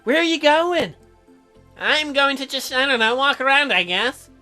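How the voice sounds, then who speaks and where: Teenage girl game heroine, as a let's player puts on: chirpy, flustered, halting, lifted sharp voice. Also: sits high, animated, faint music behind, pitched above the performer's own voice. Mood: hesitant, curious.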